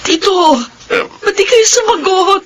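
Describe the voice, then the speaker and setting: A woman's voice: extremely high pitch, measured pace, a bit bright, a little hiss or hum. A woman playing an adult daughter in a classic radio drama, tearful and anguished. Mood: anxious. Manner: anguished, self-blaming. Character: grieving adult daughter, older sister.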